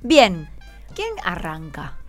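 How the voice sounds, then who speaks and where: A woman's voice: lowish voice, big pitch swings, slow, over music. A woman with a Buenos Aires Argentine accent hosting a casual radio interview with schoolchildren, prompting and reassuring them. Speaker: radio host. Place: radio studio. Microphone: studio microphone.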